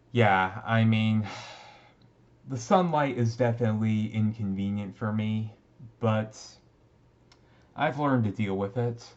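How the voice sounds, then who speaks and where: A man playing an easygoing, resigned teenage vampire classmate in a casual, confiding ASMR roleplay, talking haltingly. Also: lowish voice, a little mellow, dull audio. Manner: halting, conversational, mildly resigned. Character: brooding, easygoing male teenage vampire classmate, secretly thirty-seven.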